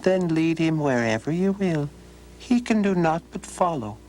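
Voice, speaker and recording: A man voicing a tiny green fairy man who speaks seriously and gives measured, kindly advice. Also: a little high, animated, a little mellow, a little hiss or hum, voice pushed up, rough recording. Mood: earnest. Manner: earnest, measured advice-giving.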